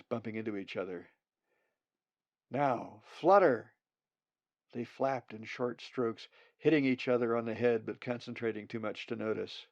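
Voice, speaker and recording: A man's voice: fairly low pitch, vocal fry, darkish tone, no room sound, dull audio. A man reading scripted storybook narration as the author of his own audiobook.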